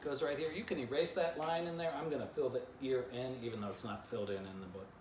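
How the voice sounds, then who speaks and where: A man's voice: mid-range voice, fairly even intonation, fast talker, a little mellow. Middle-aged man, children's author, storybook narration, informal drawing instruction, roomy echo, background noise.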